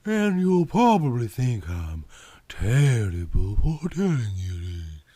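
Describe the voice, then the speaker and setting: A man's voice: medium pitch, big pitch swings, slow pace, mellow, slightly rough, no room sound, full character voice. A man reading a story aloud, voicing a flirtatious red-haired woman of about thirty-five with a Southern US drawl. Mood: coy. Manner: coy, drawling.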